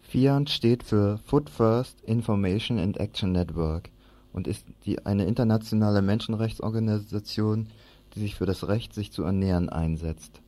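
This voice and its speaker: A man's voice: lowish voice, moderate pace, warm tone, dry recording. A man presenting news and putting questions as a radio interviewer.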